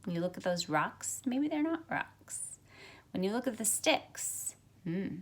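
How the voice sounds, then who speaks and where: A middle-aged woman, a teacher, speaking expressively and deliberately as if to young students during a picture book read-aloud. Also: medium pitch, sing-song. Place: carpeted room at home, indoors. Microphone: phone at arm's length.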